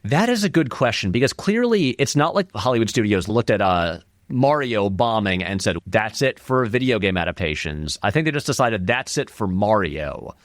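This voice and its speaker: A man's voice: lowish voice, big pitch swings, moderate pace, hardly any pauses, no echo, clean studio sound. A man giving casual, conversational film commentary as an interview guest, thinking aloud in a rambling way and leaning on key words. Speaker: interview guest, filmmaker and video essayist.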